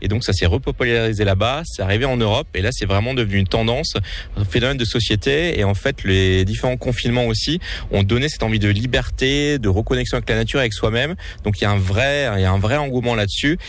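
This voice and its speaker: A man's voice: mid-range voice, fast talker. A man guest on a radio interview, earnestly explaining his subject and often restarting his sentences. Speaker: documentary filmmaker guest.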